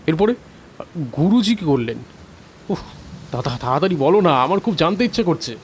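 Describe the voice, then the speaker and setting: A man acts as an eager young listener in a radio-style story. His voice is fairly high and impatient, begging for more, with faint noise behind it. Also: lively intonation, moderate pace, close to an everyday voice. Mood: impatient, curious. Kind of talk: audio story acting.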